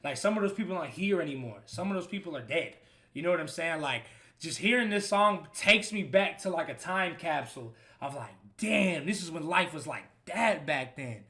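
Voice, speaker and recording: A man's voice: sits high, lively intonation, moderate pace. A man in his twenties giving a rambling, casual reaction to an album, swearing loosely as he talks. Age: 20s. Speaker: streamer reacting to music. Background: loud hip hop music playing.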